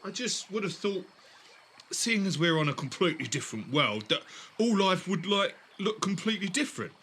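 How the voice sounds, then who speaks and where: An actor playing a young, inquisitive theatre student in an audio drama, questioning and incredulous. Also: male voice, sits high, big pitch swings, normal speed, a bit bright, slight background noise, heavily acted. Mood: doubtful.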